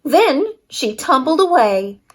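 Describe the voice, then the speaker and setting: A woman's voice: sits high, very animated, slow pace. A woman reading a picture book aloud expressively for young children, as a story-time reader.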